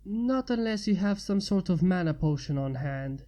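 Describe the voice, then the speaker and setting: A woman voicing a wounded male mage, a polite, weary stranger who speaks weakly and haltingly, for a fantasy storybook. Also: on the low side, lively intonation, warm tone, natural voice. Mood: weary, friendly. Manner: weak, halting, courteous.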